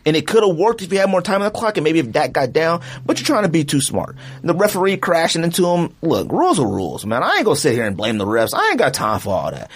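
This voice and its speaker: A man's voice: on the high side, fast, few pauses, slightly rough. A man co-hosting a podcast, ranting in a loose, slangy, rambling way about a football team's season. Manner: animated, rambling, slangy rant. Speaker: podcast co-host. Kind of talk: casual sports chat.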